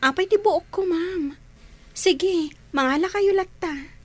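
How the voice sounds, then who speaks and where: A woman's voice: extremely high pitch, slow. A woman acting a polite, kind-hearted young working student in a Filipino radio drama. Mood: polite, surprised.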